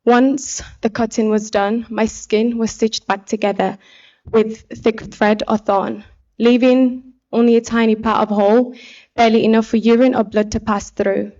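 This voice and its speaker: A young woman from Somalia giving a personal testimony speech as an activist, speaking in an earnest, deliberate way. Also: fairly high pitch, flat delivery, a little mellow, muffled recording. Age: young adult. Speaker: pageant contestant and activist speaker.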